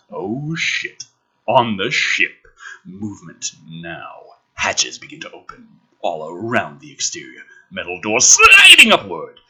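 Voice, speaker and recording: Theatrical, highly animated man with a raspy edge. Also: low-quality recording. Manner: theatrical, sarcastic, sweary, swings between hushed narration and loud ranting. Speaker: host of a gaming and commentary channel. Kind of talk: dramatic script reading with exasperated, profane mocking commentary.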